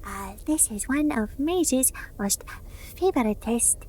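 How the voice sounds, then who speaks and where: Soft mellow asmr lady playing a friendly alien medic, slow n halting, lots of whispering, some background noise. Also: high voice, expressive. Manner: halting, gentle, deliberate, speaks of self in third person. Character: friendly eager female alien spaceport medic, speaks in third person. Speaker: ASMR roleplay performer.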